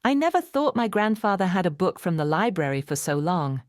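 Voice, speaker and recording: Woman playing young storybook boy, shy, polite, earnest, animated intonation. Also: fairly low pitch, a little fast, dry recording, clean studio sound. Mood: shy, sincere.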